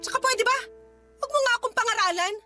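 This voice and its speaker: A woman's voice: extremely high pitch, quick pace. A woman playing an irritable, tired mother scolding the nanny in a radio drama, snappish and dismissive. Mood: angry. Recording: music bed behind the voice.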